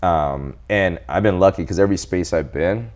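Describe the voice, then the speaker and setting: Very deep-voiced man in his forties. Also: moderate pace. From El Salvador. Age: 40s. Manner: animated, quotes people in his stories. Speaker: guest doctor. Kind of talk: casual interview storytelling.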